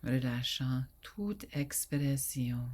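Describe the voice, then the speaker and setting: A woman guiding a sleep meditation in a soft, soothing voice that often drops to a breathy near whisper, with long pauses. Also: very deep voice, expressive. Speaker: yoga nidra guide.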